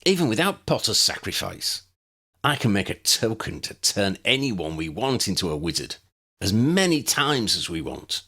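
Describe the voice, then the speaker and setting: A man's voice: lowish voice, big pitch swings, moderate pace, bright, forceful tone, slightly rough, exaggerated voice. Man voicing a weak, boastful wizard villain. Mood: proud. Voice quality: thin, weak. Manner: proud, defiant, with a dry cough. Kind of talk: audiobook character voice.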